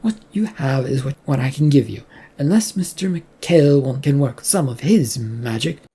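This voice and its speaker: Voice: mid-range voice, lively intonation, moderate pace, dark tone, husky. A narrator voicing a defiant, dismissive female company boss with a curt, defensive manner, in an audio story. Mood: scolding.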